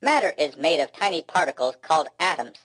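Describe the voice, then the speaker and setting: A man's voice: fairly high pitch, animated, brisk, a bit bright, dry recording, pitched above the performer's own voice. A man voicing a precise, exasperated know-it-all robot in a children's storybook, with a stiff synthetic tone and clipped, pedantic delivery.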